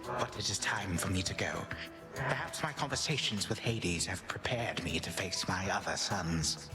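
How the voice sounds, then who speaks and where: A man voicing a humbled ancient titan grandfather in a game, with archaic, courtly, deliberate speech. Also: deep voice, music in the background, close to an everyday voice. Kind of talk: scripted game voice acting.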